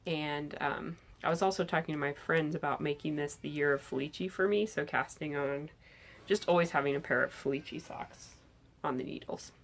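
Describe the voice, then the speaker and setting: A woman in her thirties with a low, gravelly voice, a bit hoarse from a cold. She chats eagerly about her knitting to a camera in front of her, in a bedroom. Faint hiss sits behind her. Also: moderate pace. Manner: chatty, enthusiastic, lots of "um"s. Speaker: knitting podcast host. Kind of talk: casual show-and-tell chat. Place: bedroom with pillows, indoors.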